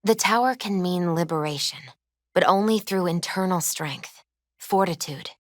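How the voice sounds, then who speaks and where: A gentle young woman who reads tarot as a game actress plays her, speaking in a lowish, soft-spoken voice. She is measured and earnest, with a mystical, foreboding weight to her delivery. The sound is very dry, as in game dialogue. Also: no room sound, close to an everyday voice. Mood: solemn, foreboding. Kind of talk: video game character dialogue.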